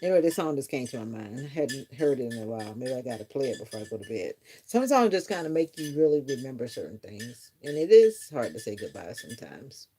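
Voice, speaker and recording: A woman's voice: extremely low pitch, big pitch swings, fast talker, hardly any pauses, warm tone, slight background noise. A middle-aged woman chatting casually on a live stream, musing earnestly to her viewers in a reflective, rambling way. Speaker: livestreamer.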